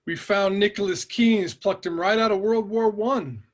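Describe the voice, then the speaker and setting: A man acts as a proud father with a purposeful, commanding streak. His voice is fairly high for a man, earnest and measured in a scripted drama. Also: fairly even intonation. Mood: resolute. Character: proud, quietly commanding father of a grown son. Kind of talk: scripted drama table read.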